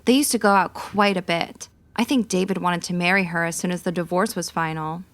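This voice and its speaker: An actress playing a chatty, gossipy American housewife neighbor, earnest and folksy, in 1950s radio drama style. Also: female voice, fairly low pitch, steady tone, fairly quick, natural voice. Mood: gossipy, confiding.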